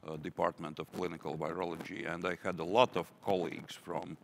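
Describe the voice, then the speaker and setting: A man moderating a conference Q&A, reading out audience questions in a halting way with many fillers. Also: deep voice, flat delivery, creaky, slight background noise. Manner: hesitant, halting, full of fillers.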